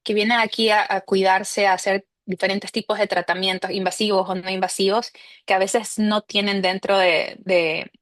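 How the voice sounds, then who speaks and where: A woman from Ecuador with an Ecuadorian Spanish accent, a cardiologist answering interview questions in a measured way, often saying "este" and slipping in English medical terms. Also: medium pitch, level tone, fairly bright tone, dry recording. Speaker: cardiologist guest.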